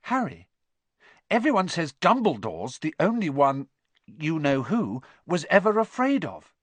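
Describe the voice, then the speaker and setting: A man's voice: a little high, wildly swooping pitch, unhurried, dry recording, voice pushed up, heavily acted. A man voicing an anxious, caring young girl in an audiobook, earnest and concerned in manner. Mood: anxious, comforting. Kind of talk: audiobook character dialogue.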